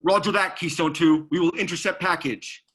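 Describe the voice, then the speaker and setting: A man playing a brisk, spirited military space pilot with a punchy, animated delivery. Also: fairly high pitch, breathy edge. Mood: brisk, confident.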